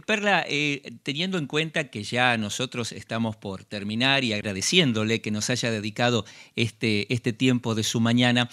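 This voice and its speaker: Bright-voiced male radio host. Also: mid-range voice. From Argentina. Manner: brief affirming interjections. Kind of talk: radio interview.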